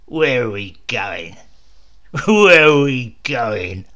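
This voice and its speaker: A man's voice: medium pitch, very animated, very slow, bright, forceful tone, exaggerated voice. A man voicing a huge, coarse, scornful brute who roars at the people around him. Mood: roaring scorn. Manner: roaring, coarse.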